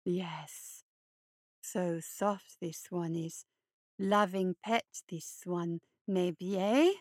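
A woman's voice: lowish voice, drawn-out words, no echo, clean studio sound, pitched below the performer's own voice. A woman voicing a huge, hungry, blood-soaked male hare monster who murmurs in broken grammar. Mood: coaxing.

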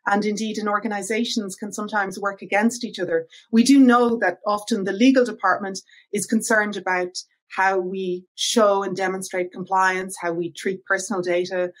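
Irish woman, podcast guest, measured and articulate, mid pitch. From Ireland. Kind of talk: interview answers.

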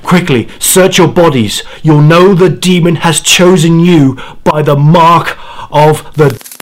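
A man playing an anguished, dying old grandfather in a first-person horror monologue, speaking haltingly and dramatically with repeated words. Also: on the high side, rough recording. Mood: urgent. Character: anguished dying old grandfather confessing his terrible bargain.

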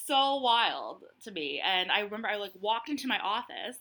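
A woman's voice: medium pitch, sing-song, normal speed, pushed and bright. A young Canadian woman, a podcast guest, talking candidly and assertively in casual conversation. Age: young adult. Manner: candid, calls herself assertive.

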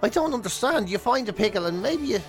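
A man's voice: very high-pitched, expressive, fast talker, soft background music. A man with an Irish accent co-hosting a radio show, chatting casually with a dry, rambling, teasing sense of comedy. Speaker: radio breakfast show co-host. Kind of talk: casual comic banter. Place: radio studio.

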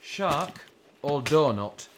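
A man's voice: on the high side, expressive, extremely slow, background noise. An actor playing a laid-back, teasing young man in a radio drama. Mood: casual. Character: Jay, easygoing young man, Sarah's loyal mate.

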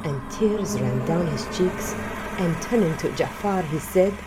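A woman's voice: fairly low pitch, lively intonation, measured pace, background noise. A woman narrating an audio drama in a scripted, archaic storybook style.

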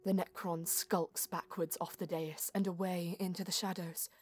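A woman playing a proud, quick-witted elven alien heroine, narrating her story in a lofty, poetic manner in an audio drama. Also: fairly low pitch, flat delivery, a little mellow, lightly acted. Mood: solemn. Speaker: first-person narrator and lead character. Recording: music behind the voice.

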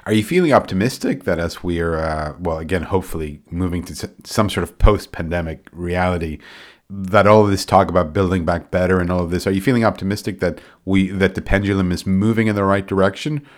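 A man's voice: low voice, a little fast. A man hosting an interview show, asking conversational questions in a tentative, self-qualifying way.

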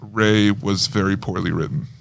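A man's voice: lowish voice, steady tone, moderate pace, vocal fry, slight background noise. A man co-hosting a casual chat show, explaining fan lore at length in a geeky way. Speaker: podcast co-host reviewing film news.

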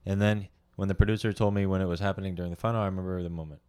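A man with a fairly low voice chats as a podcast co-host. His delivery is casual and joking, with crude humor and loosely told anecdotes. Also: fairly even intonation, rapid-fire, darkish tone, clear, resonant tone. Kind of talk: casual comedy banter and anecdotes.

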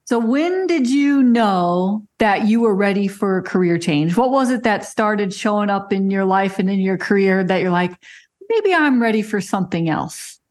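A woman hosting a podcast, asking an interview guest questions in a warm, complimentary way. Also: medium pitch, lively intonation, moderate pace. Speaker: podcast host.

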